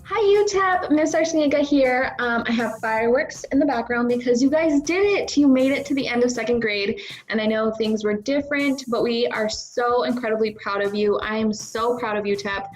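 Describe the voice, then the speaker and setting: A woman's voice: fairly high pitch, normal speed, rough recording. A woman teacher giving an informal, congratulatory video message to her young pupils in an encouraging manner. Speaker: elementary school teacher.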